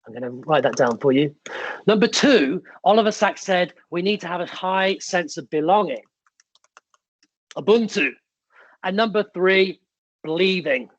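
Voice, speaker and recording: A man's voice: high-pitched, animated, unhurried, pauses often, dull audio. A man who grew up in the UK, with Italian as his first language, giving a motivational keynote in an emphatic storytelling manner with short punchy phrases. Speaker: keynote speaker.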